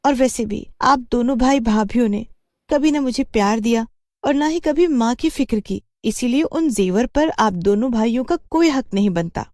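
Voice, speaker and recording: A woman's voice: fairly high pitch, expressive, normal speed, darkish tone, no room sound, clean studio sound, pitched above the performer's own voice, natural voice. A woman voicing a dutiful young sister, earnest and plaintive but firm. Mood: hurt, defiant.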